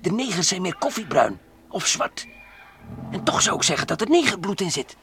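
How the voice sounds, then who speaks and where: A man's voice: high-pitched, very animated, moderate pace, pushed and bright, slight background noise, exaggerated voice. Een man die in een ouderwets hoorspel voor kinderen een besliste, nieuwsgierige en plagerige ruimtereiziger speelt. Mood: amazed. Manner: decisive, mocking, wondering aloud. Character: bold, curious, teasing male space explorer. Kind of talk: old-fashioned children's radio play acting. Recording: old radio play recording.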